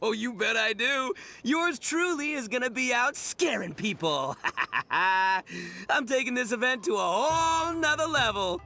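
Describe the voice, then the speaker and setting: Brash, bright, high-pitched oni gang boss. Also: male voice, lively intonation, measured pace, vocal fry, slight background noise, heavily acted. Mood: scheming, boastful. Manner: swaggering, slangy, theatrical. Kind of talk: anime game dub acting.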